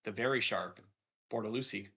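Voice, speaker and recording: A man's voice: fairly low pitch, brisk, sharp tone, husky. Upbeat man hosting, lo-fi sound. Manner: upbeat, laughing, filler-heavy. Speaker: show host. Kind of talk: casual sports betting chat. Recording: dull lo-fi sound.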